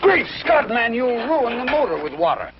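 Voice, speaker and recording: An actor playing a dignified, wary cabinet secretary in a vintage television drama, formal and authoritative. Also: male voice, very high voice, big pitch swings, normal speed, full character voice. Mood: startled, indignant. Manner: formal, authoritative, easily startled. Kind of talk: 1950s television drama acting. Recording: muffled, noisy outdoor scene on vintage soundtrack.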